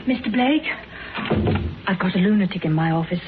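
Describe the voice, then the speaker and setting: A woman playing a frank, brisk, polite secretary in a radio drama. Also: lowish voice, lively intonation, lightly acted. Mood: exasperated, frank. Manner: brisk, plain-spoken, polite. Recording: tinny band-limited radio recording with hiss.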